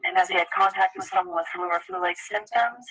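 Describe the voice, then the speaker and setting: A woman taking an emergency call, asking scripted questions and giving instructions in a brisk, procedural manner over a phone line. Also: fairly low pitch, a bit bright, slightly rough.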